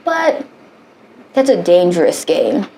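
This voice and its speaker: Woman, late twenties, podcast co-host, casual rambling chat, mellow tone. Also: on the low side, very animated, slow pace, a little hiss or hum. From United States. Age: 20s. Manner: rambling, sweary, trails off mid-sentence.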